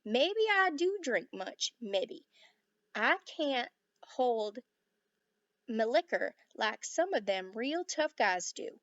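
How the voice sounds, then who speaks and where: Loud, drawling little cowboy with a put-on Texas twang, grumbling and self-pitying, as a teacher reads him out. The drawl is slowed and pushed, the sound dry and a bit dull. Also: female voice, on the high side, big pitch swings, dry recording, full character voice. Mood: complaining.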